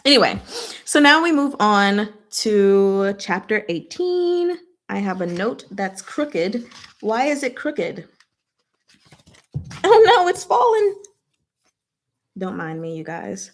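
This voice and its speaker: A woman with a mid-pitched voice talks through a story as if to friends. She is casual and playful, leaning on slang and slipping into characters' lines in her own everyday way of speaking. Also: very animated, slow pace, long pauses. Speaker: host of a book discussion. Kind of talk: casual chatty recap of a novel's chapters.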